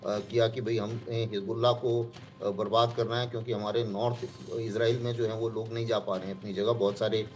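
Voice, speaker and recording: A man's voice: fairly low pitch, little pitch movement, brisk, hardly any pauses, soft background music. A man giving analysis as an expert guest in a live news discussion.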